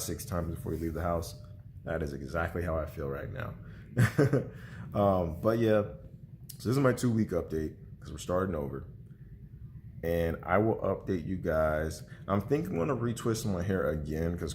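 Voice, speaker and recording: A man's voice: deep voice, a little mellow, a little hiss or hum. A congested young man vlogging casually at home, rambling with lots of fillers and restarts. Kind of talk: casual vlog chat to camera.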